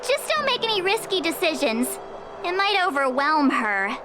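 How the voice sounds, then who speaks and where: A woman's voice: sits high, sing-song, normal speed, low-quality recording. A woman playing a perky, teasing young girl adventurer in a video game, bubbly and cheeky. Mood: playful. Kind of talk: video game character acting. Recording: loud music behind the voice.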